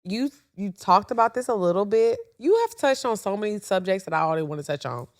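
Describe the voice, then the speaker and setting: A woman co-hosting a podcast, asking questions and talking in an outspoken, animated, joking way. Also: medium pitch, fast. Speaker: podcast co-host. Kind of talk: casual podcast interview.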